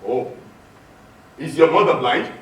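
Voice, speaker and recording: A man on stage playing a generous, important gentleman who speaks with emphatic reassurance. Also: medium pitch, lively intonation, slow. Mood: curious. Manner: emphatic, reassuring, projected delivery. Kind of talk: theatrical one-man stage acting. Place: hotel hall stage. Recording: distant echoey stage recording, faint noise.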